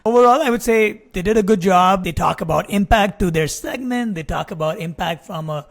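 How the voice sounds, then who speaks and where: High-pitched man presenting a business tutorial. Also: fairly quick, creaky. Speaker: presenter, investor relations adviser. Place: small indoor room or home office. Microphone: close desk microphone.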